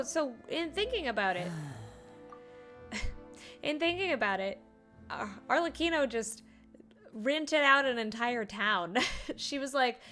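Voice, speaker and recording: A woman's voice: fairly high pitch, a bit bright. A woman giving playful, reactive live commentary as she streams a video game. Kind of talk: live let's play commentary. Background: game music.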